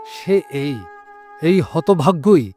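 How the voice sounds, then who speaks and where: An actor playing a kindly, humble bound prisoner, speaking formal lines in an audio drama. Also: male voice, a little high, extremely slow, darkish tone. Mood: moved. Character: bound male prisoner from Damascus, kindly, humble, ill-fated. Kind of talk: Bengali audio drama acting, formal literary diction. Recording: music behind the voice.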